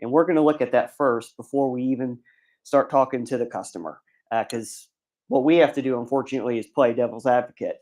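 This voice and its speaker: A man's voice: medium pitch, a little fast, a little mellow. Man lecturing on commercial lending. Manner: plain explanatory, many ums and uhs. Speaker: bank commercial lender, guest speaker. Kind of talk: seminar lecture. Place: seminar room.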